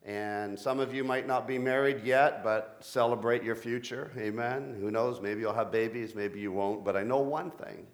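A middle-aged man preaching a sermon, a pastor with a deep voice who jokes playfully with his congregation. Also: steady tone, normal speed, few pauses.

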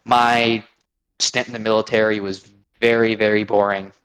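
Man, 20s, from Oregon, low voice, casual rambling interview talk. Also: little pitch movement, normal speed, a bit bright, clean tone, low-quality recording. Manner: rambling, frequent restarts and fillers. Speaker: guest, army veteran.

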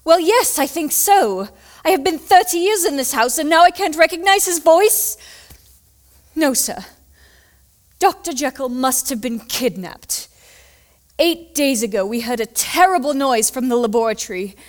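Woman playing a high-voiced, anxious Victorian housekeeper. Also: animated, unhurried. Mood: distressed, insistent. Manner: deferential, formal, urgent. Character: anxious, loyal Victorian housekeeper, thirty years in service. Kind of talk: dramatic audio play acting.

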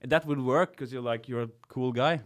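A man, a clown talking as a podcast guest in halting, casual conversation full of restarts. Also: mid-range voice. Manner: halting, many restarts and fillers, teasing.

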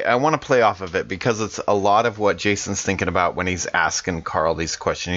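A man's voice: sits low, fairly bright tone, no room sound, lo-fi sound. A man chatting casually as a player at a tabletop roleplaying game.

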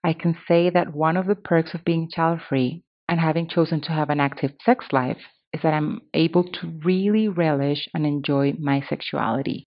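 A woman's voice: low-pitched, fairly even intonation, normal speed, hardly any pauses. Woman podcaster, dull muffled audio. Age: 30s. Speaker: podcast host. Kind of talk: scripted podcast narration with personal commentary.

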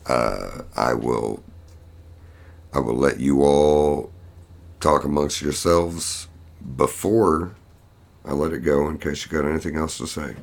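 A man with an extremely low, creaky voice talks like a casual podcast host. He rambles along slowly and dryly, swearing freely. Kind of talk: casual solo podcast chat, voicing absent co-hosts.

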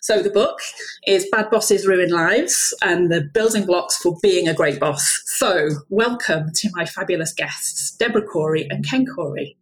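A woman's voice: on the low side, unhurried, poor audio. A woman hosting a podcast interview, enthusiastic and full of praise for her guests. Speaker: podcast host.

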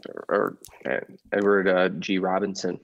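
A man playing a meek, henpecked husband who narrates his secret escape in measured, formal old-time radio style. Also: low-pitched, completely flat delivery, gravelly, lo-fi sound. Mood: meek, hesitant.

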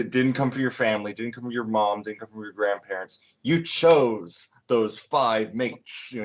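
Twenty-something male podcast host, low voice, loose rambling delivery full of "um"s, dull lo-fi recording. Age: 20s. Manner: rambling, many fillers and restarts. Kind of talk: casual chat. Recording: dull lo-fi sound.

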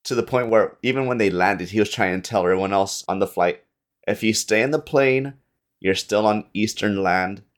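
Low-voiced man, casual storyteller. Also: moderate pace. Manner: informal, acts out quoted dialogue. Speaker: podcast guest.

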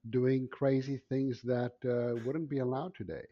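A man in his forties, a podcast guest telling animated stories from his childhood with many fillers. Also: mid-range voice, a little slow, muffled recording. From South Africa. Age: 40s. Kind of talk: interview storytelling. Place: Brooklyn.

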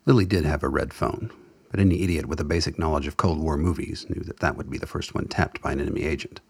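A man narrating a radio drama as a wry storyteller, with a husky voice and scripted, measured delivery. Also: very deep voice, no echo, heavily acted. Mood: wry storytelling.

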